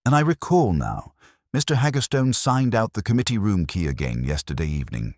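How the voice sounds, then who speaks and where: A man voicing a sharp-eyed, purposeful cleaning woman in a mystery story, exact and matter-of-fact. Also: on the low side, big pitch swings, a little fast, no echo, studio quality, voice pushed up.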